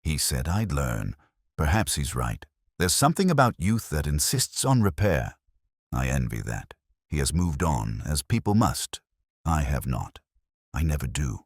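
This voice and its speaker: A man playing a melancholy older English police inspector, delivering a measured, solemn, literary monologue in a radio drama. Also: very deep voice, sing-song, slightly rough, no echo, clean studio sound, exaggerated voice. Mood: brooding, wistful. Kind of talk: scripted first-person radio drama monologue.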